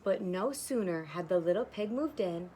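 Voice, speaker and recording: A woman's voice: on the low side, lively intonation, moderate pace, dark tone, slight background noise, low-quality recording. A woman kindergarten teacher reading a picture book to young children and explaining it simply, outdoors on a porch.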